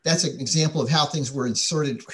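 A man's voice: on the high side, fairly quick. An older man explaining things plainly in a panel talk over a video call. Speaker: theater panelist. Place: indoors at home, on a video call. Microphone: computer mic on a video call.

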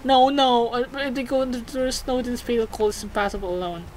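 A woman doing let's play commentary, excitable and sweary, repeating phrases rapidly as she reacts and reads game text. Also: fairly high pitch, a little hiss or hum, rough recording. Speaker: video game streamer. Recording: game music behind the voice. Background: video game music.

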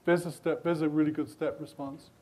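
A man's voice: a little high, flat delivery, brisk, darkish tone. An older man with a British accent, a magazine editor answering audience questions at a conference talk in a hotel meeting room. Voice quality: thoughtful, loose explanatory manner with restarts. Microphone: podium mic, speaking away from it.

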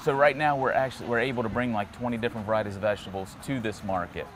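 Low-voiced farmer listing produce. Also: male voice, rapid-fire, slight background noise. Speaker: vegetable farmer. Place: outdoor farmers market.

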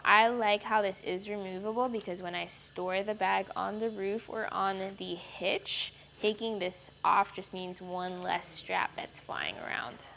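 A young woman presenting a product walkthrough in an indoor garage studio, explaining features in a clear, friendly way on a lapel mic. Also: medium pitch, a little slow, hardly any pauses, fairly bright tone, a little hiss or hum. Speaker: product reviewer. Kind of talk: product demonstration.

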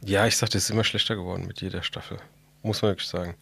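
A man's voice: low voice, little pitch movement, very fast, creaky, pushed and bright, breathy edge. A man speaking casually as a podcast co-host, in a colloquial and blunt manner. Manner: colloquial and blunt, with mild swearing. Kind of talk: casual chat.

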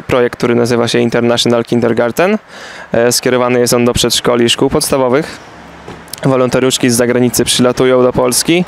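A young man, a volunteer organizer, giving a brisk, informative interview statement with hesitant fillers. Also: mid-range voice, slight background noise. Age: young adult. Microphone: handheld reporter mic.

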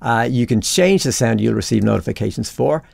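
Male tutorial presenter, heavy vocal fry, casual explanatory screencast read, close and dry. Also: medium pitch, lively intonation, brisk, a little mellow, no room sound. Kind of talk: instructional screencast narration, conversational.

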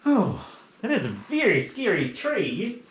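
A man's voice: sits high, sing-song, unhurried, muffled recording, slight background noise. A young man playing an eager, naive, easily spooked little boy in a children's fairy tale, with a theatrical, wide-eyed manner. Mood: nervous. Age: young adult. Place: living room. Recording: echoey indoor room.

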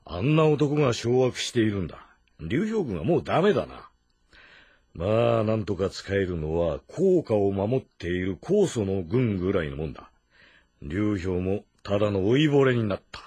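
Casting: stern, deliberate warrior general for a historical game drama; low, clean and lively in inflection. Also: male voice, husky, dry recording. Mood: grave. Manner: deliberate, stern, martial, old-fashioned diction.

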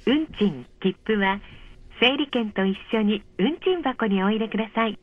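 A woman reading a polite, measured scripted announcement over a train's speakers, clearly enunciated. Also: mid-range voice, lively intonation. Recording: dull, muffled train speaker recording.

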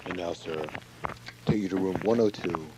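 A man's voice: sits low, normal speed, close to an everyday voice. A man playing a clumsy, parroting asylum warden in an amateur comedy skit on a homemade cassette. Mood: obedient, oblivious. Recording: muffled, noisy homemade cassette.

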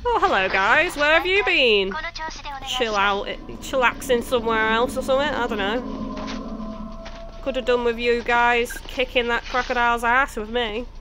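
A woman's voice: fairly high pitch, unhurried, noisy background. A young woman from near Chester in England, chatting casually with viewers while streaming a game. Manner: chatty, laughs easily, answers chat. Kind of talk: casual chat with live chat viewers.

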